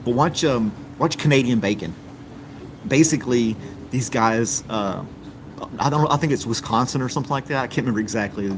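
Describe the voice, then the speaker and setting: Man, from the United States, casual live stream host, rambling, lots of ums, average pitch, steady background hum. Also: brisk. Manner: easygoing, rambling, with frequent ums and restarts. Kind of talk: casual chat reading viewer comments.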